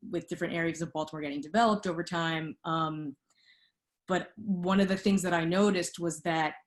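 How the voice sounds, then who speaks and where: Interview guest: thirties woman, low-pitched, thoughtful, filler-heavy delivery. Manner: reflective, with many fillers. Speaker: guest, lighting designer. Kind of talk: conversational interview.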